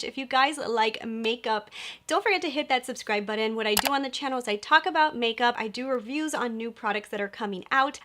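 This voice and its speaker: A young woman with a fairly high voice talks straight to her viewers in a bubbly makeup review. She sounds a bit nasal from a cold she is getting over. Her delivery is chatty and gushing, full of asides to her audience. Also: fairly bright tone. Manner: chatty and gushing, lots of "you guys". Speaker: beauty vlogger. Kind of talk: upbeat product review vlog.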